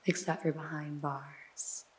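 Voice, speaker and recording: An actress playing a sly woman detective in a noir ASMR roleplay, questioning a suspect in a slow, teasing, mostly whispered voice. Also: low voice, flat delivery, poor audio. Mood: teasing, probing.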